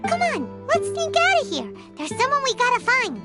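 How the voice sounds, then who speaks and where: Tiny squeaky fairy buddy for a game, super high, cheeky n chatty, music playing behind. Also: female voice, wildly swooping pitch, normal speed, exaggerated voice. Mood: excited, bossy. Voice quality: squeaky, childlike. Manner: perky, teasing, talkative. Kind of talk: video game character voice acting.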